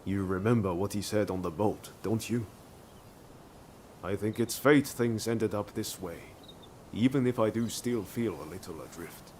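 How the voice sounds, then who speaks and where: An actor playing an earnest, reflective young samurai in a video game, thoughtful and sincere. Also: male voice, lowish voice, a little slow, darkish tone, a little hiss or hum, lightly acted. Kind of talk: video game cutscene acting.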